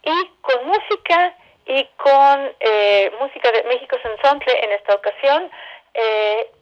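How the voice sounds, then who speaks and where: A woman with a Mexican accent and a slightly high voice. She talks in a gracious, conversational way as a theatre director being interviewed on radio. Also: expressive, a little slow, lo-fi sound. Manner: courteous, gracious.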